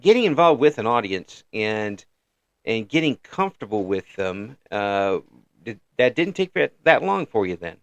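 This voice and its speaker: An older man hosting a casual interview, halting and repeating words as he goes, with frequent chuckles. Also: fairly low pitch, very animated, smooth, dry recording, lo-fi sound. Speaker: interview host.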